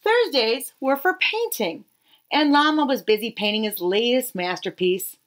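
A woman in her thirties reading a children's picture book aloud expressively, with dramatic pauses and emphasis. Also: on the high side, animated, a little hiss or hum. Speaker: read-aloud storyteller.

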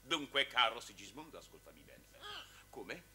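An actor playing an excitable, melodramatic middle-aged man in a 1960s television series, fussy and theatrical. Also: mid-range voice, normal speed, close to an everyday voice. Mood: grandiose. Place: television studio.

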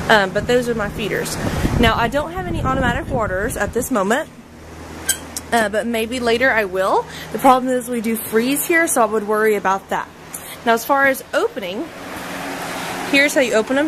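A woman in her thirties talks through her horse barn in a loose, chatty way, with plenty of ums. Her voice sits a little high. The sound comes from a handheld camera and carries a clear layer of noise. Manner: chatty, frequent ums, filming as she walks. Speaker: barn owner reviewing horse stalls. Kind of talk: casual walkthrough video. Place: indoor horse barn aisle. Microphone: handheld camera mic. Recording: noisy handheld camera audio.

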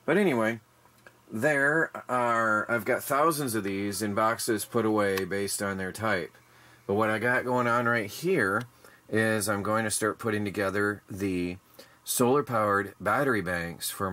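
A man's voice: on the low side, unhurried, bright, forceful tone. Weary plain-spoken man touring his homestead. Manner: rambling, plain-spoken, tired after hard work. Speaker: homestead DIY vlogger. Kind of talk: casual walk-through narration. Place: outdoors in a yard, then a workshop.

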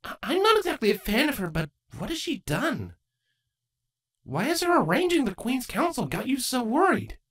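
A woman plays a young changeling daughter from a fantasy storybook. She is bookish, caring and a little playful, speaking casually and earnestly with very animated pitch. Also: moderate pace, slightly rough, heavily acted. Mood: concerned. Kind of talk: acted fan fiction audiobook dialogue.

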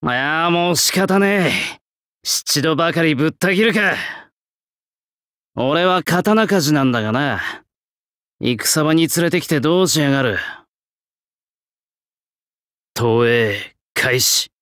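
Young-bodied old-souled swordsmith, rough artisan talk, slow delivery, animated pitch, dry recording, game character. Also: male voice, dry recording. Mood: battle-ready, resolute. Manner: blunt, rough old-fashioned artisan speech. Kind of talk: Japanese game character voice lines.

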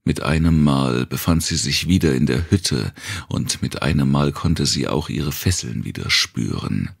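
A man reads a dark thriller audiobook in an extremely low voice, thick with creak. He takes it slowly and gravely, measuring out each phrase. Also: lively intonation, dry recording, clean studio sound. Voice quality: gravelly, husky. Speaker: audiobook narrator.

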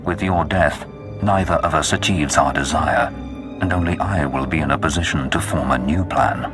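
Very deep, imperious Sith lord. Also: male voice, music in the background. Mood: cold menace. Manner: pompous, commanding, deliberate. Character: menacing, imperious male Sith lord seeking to rule. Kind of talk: dramatic video game voice acting.

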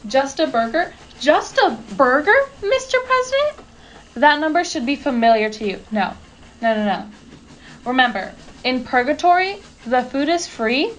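A woman acts out a dry, mocking server in a train's eating car. She speaks a little high and teases her customer at every turn. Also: big pitch swings, moderate pace, fairly bright tone, slight background noise, low-quality recording. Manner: sarcastic, mocking. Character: sarcastic female train diner server. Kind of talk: comedic amateur radio play.

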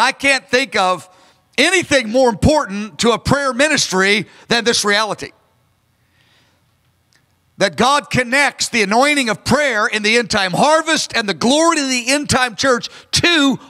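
A man's voice: very high-pitched, expressive, normal speed, sharp tone. Man, 50s, pastor, emphatic sermon, handheld mic, reverberant hall. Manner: earnest, emphatic preaching with hand gestures. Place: large church stage. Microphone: handheld microphone. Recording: long reverb of a large hall.

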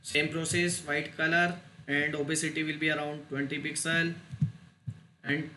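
Halting man in a noisy, echoey room. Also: a little high, little pitch movement. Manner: halting, short clipped phrases, non-native phrasing. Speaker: tutorial instructor. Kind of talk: step-by-step software screen tutorial. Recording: roomy echo, loud noise, clicks.

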